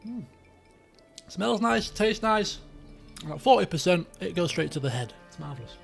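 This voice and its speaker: A British man with a croaky voice, chatting casually and in a rambling, colloquial way as he hosts a game livestream. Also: high-pitched, lively intonation, moderate pace, faint music behind. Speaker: game streamer, host.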